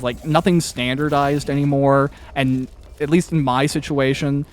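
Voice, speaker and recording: A man hosting a casual interview chat, talking in a wordy, hesitant way and often interrupting himself. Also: mid-range voice. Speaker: podcast host interviewer.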